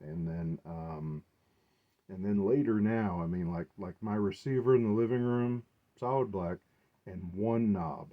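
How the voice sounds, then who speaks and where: A man giving a casual, unscripted show-and-tell, speaking haltingly as he thinks aloud. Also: lowish voice. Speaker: collector presenting his gear. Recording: dull, muffled lo-fi sound.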